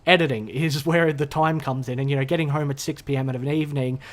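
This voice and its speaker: A man vlogging from his room, rambling casually to the camera with lots of fillers and self-corrections, his voice a little hoarse from being sick. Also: mid-range voice, steady tone, quick pace. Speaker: gaming YouTuber. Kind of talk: unscripted casual vlog ramble. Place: his own room, indoors. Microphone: talking to a camera.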